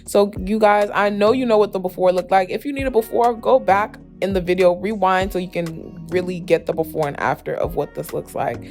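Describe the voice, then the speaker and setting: A young adult woman with a slightly hoarse, raspy voice, giving a chatty, emphatic beauty tutorial as a casual voice-over. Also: mid-range voice, brisk, few pauses, a little mellow. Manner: chatty, emphatic, addresses viewers familiarly. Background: background music with guitar.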